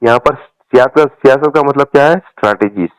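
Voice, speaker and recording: A man's voice: mid-range voice, fairly quick, smooth. Hyderabadi guy ranting on a phone call, casual and emphatic, repeats himself, no echo at all. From Telangana, India. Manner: emphatic, repeats phrases, colloquial. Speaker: party supporter on a phone call. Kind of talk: political rant in casual phone conversation.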